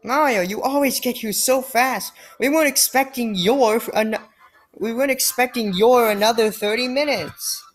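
A woman voicing small cheerful mushroom villagers, reading the game's dialogue in a light, slightly higher way. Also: normal speed, faint music behind, close to an everyday voice.

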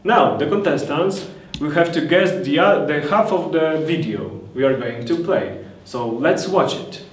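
Animated male game show host, roomy sound. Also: a little high, a little slow, slight background noise. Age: young adult. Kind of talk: amateur game show presenting. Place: small indoor room. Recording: roomy, distant mic, music behind.

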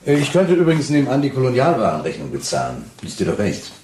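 Stern, domineering husband in a radio drama. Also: male voice, medium pitch, fast, background noise. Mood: coolly probing. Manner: stern, reproachful, condescending, swinging from calm to harsh. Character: cold, domineering husband tormenting his fragile wife. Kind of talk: German radio play acting.

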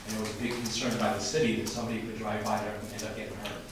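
A man's voice: fairly low pitch, flat delivery, very fast. An older man with an American accent making an earnest, formal public comment to a city council as an official, repeating his phrasing for emphasis. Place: large indoor council chamber. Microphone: camera from across the room. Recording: distant, very echoey, noisy room recording.

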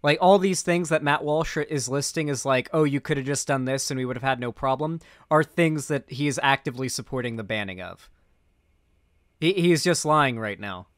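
A man's voice: mid-range voice, moderate pace, no room sound. A young man giving casual, opinionated reaction commentary as a streamer, speaking into a close mic indoors. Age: young adult.

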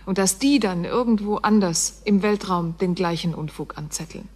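A woman's voice: mid-range voice, normal speed, darkish tone, natural voice. An actress playing an earnest, reproachful woman voicing a letter to a friend in a radio drama. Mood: grave. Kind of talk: radio drama acting, reading a letter aloud.

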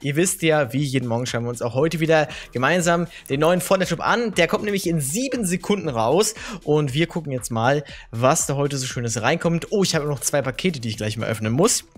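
A hoarse young man hosting his gaming channel, casually talking viewers through the day's game shop items in a chatty, relaxed way. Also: fairly high pitch, a little fast.

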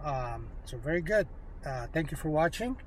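A man chatting casually and politely as an amateur radio operator, speaking in a halting, informal way. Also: fairly high pitch, animated, noisy background. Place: inside a parked vehicle. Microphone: handheld smartphone.

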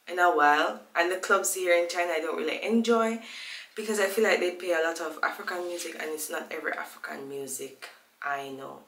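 Woman, 20s, from Jamaica, casual vlog chat, slightly low voice, rambling, echoey small room. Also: pushed and bright. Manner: chatty, rambling, loses her train of thought. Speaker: vlogger. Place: small room at home, indoors.

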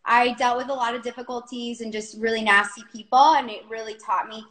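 A woman of thirty answers questions in a casual live chat. Her voice sits on the high side and is picked up with a strong roomy echo. She is candid and informal, with an occasional curse. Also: fairly even intonation, a little fast, fairly bright tone. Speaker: interview guest, reality show contestant.

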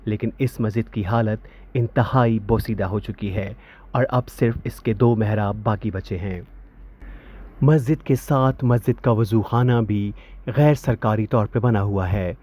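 A man's voice: medium pitch, a little mellow. A man in his thirties hosting a travel vlog, upbeat on camera and formal in his narration. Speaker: host. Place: outdoors by a ruined site.

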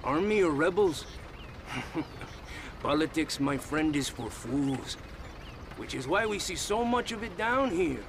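A man's voice: a little high, expressive, measured pace, pauses often. An actor playing a cynical middle-aged foreman in a Western video game, with a sardonic, knowing delivery. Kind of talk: video game cutscene acting. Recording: noisy outdoor game ambience.

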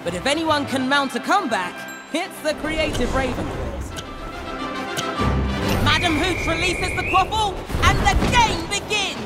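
A man playing an excitable young school sports commentator in a video game, calling the match with theatrical exclamations. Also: extremely high pitch, a little slow, over loud music. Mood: eager, proud.

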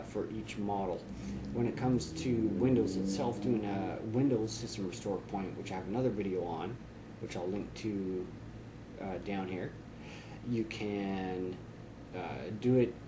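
Low-voiced man giving tech tips. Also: unhurried, background noise. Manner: relaxed, conversational, frequent "uh" fillers. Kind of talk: casual how-to explanation to camera. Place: small office indoors.

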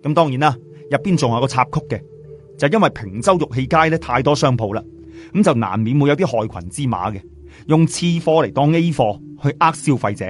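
A man narrating a story in a lively, colloquial Cantonese storytelling style, chatting in asides to listeners. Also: mid-range voice, brisk, soft background music. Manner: chatty, uses sentence particles and asides to listeners. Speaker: audiobook storyteller.

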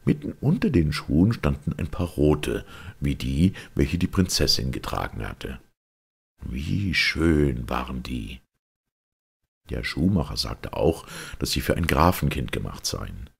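A man with an extremely low voice reads a fairy tale aloud. His tone is rough and husky, crackling with fry, and he tells the story with dramatic emphasis. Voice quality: gravelly, husky. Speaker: audiobook narrator.

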